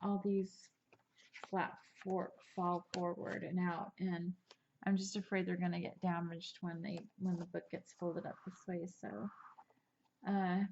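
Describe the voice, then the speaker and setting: A woman rambles cheerfully through her handmade album, restarting sentences and slipping in small laughs. Her voice has a gravelly edge and stays on a fairly flat, even line. She is a bit sniffly from allergies, and the recording sounds dull and muffled. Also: fairly low pitch, measured pace, slight background noise. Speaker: crafter showing a handmade album. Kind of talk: casual craft show-and-tell.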